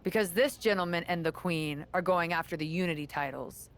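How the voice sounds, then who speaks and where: A haughty female wrestler played by an actress speaks a little low, with regal confidence. She sounds like a self-assured queen in a game cutscene. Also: a little fast, natural voice. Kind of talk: video game cutscene acting.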